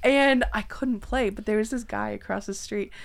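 A young woman with a Canadian accent, a bubbly, loud podcast host bantering and telling stories casually, peppering her talk with "like". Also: on the high side, moderate pace. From Ontario, Canada. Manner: bubbly, loud, uses "like" heavily. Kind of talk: casual bantering chat and storytelling.

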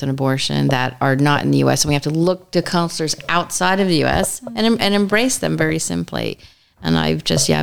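A woman speaking as a podcast guest about postnatal care, in a soft, calm and soothing way. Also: low voice, creaky. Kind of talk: casual podcast interview.

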